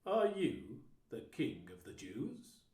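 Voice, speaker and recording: A man's voice: mid-range voice, huge pitch swings, takes it slowly, darkish tone. A man playing a stern Roman governor in a dramatised scripture reading, asking question after question. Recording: roomy echo.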